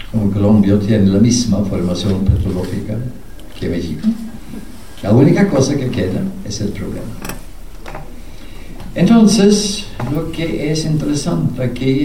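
Slow, mellow older man lecturing in a hall. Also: mid-range voice, a little hiss or hum. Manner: measured, emphatic, pausing between short phrases. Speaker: peace researcher giving a lecture. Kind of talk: public lecture. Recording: echoey hall, speaker far from mic.

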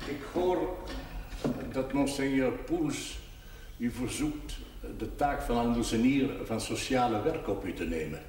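A man's voice: mid-range voice, lively intonation, darkish tone, close to an everyday voice. Man playing a solemn priest, very echoey room. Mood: formal, advising. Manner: formal, deliberate, sermonizing. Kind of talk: measured, theatrical television drama acting. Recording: very echoey, noisy 1970s TV recording.

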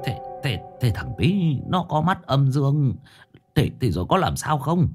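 Stammering, fretful grandfather, male narrator voicing him; soft and halting. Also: medium pitch, sing-song, loud music behind the voice, voice pushed up. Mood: worried. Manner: stammering, worried. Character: anxious old grandfather, stammering.

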